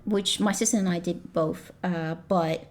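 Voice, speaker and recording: A woman's voice: on the low side, steady tone, measured pace. A woman in her thirties from Malaysia, chatting as a podcast guest in an accent that mixes Australian, British and American sounds, with lots of fillers and restarts. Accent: mixed Australian, British and American. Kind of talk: casual chat.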